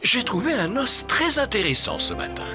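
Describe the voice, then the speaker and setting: A man voicing a kindly, excitable animal doctor in a French children's cartoon, with an expressive delivery. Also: high voice, normal speed, music in the background. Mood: excited.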